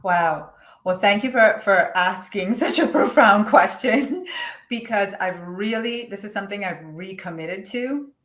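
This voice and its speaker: A woman's voice: medium pitch, lively intonation, measured pace. A woman from Jamaica, a guest on an online interview over a video call, speaking in an earnest, reflective way. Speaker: guest, business coach. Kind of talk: interview answers. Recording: roomy echo.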